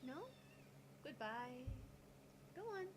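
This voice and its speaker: A woman banters casually as co-host of a gaming channel. Her voice sits a little high and sounds playful, with clearly audible noise behind it. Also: big pitch swings, drawn-out words. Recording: clear background noise, room ambience.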